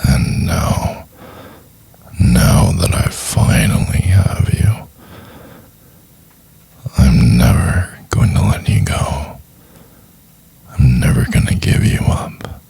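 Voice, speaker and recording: An extremely low male voice, thick with fry and very breathy, a man acting an obsessive boyfriend in an ASMR roleplay. He croons in a hushed half-whisper, possessive and softly menacing, drawing his words out very slowly. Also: expressive, full character voice. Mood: tender, possessive. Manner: slow, hushed, crooning insistence. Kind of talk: intimate ASMR roleplay monologue.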